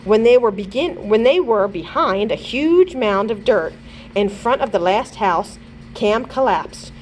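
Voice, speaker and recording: A woman's voice: mid-range voice, lively intonation, a little slow, a little hiss or hum, poor audio. A woman reading a children's chapter book aloud expressively, as a librarian would.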